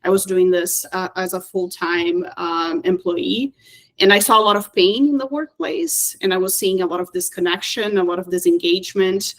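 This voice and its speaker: A woman's voice: on the low side, fairly even intonation, moderate pace. A woman speaking as an author on an online panel, introducing herself in a reflective, explanatory way. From Brazil or United States (Brazilian American). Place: video call.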